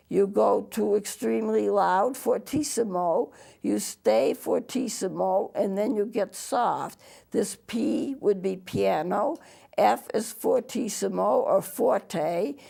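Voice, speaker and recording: A woman music teacher with a throaty, worn voice, gravelly with heavy fry. She talks at an unhurried, slow pace, patiently spelling out terms letter by letter in a small TV studio. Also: medium pitch, a little mellow. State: losing her voice, throaty. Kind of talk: televised music lesson.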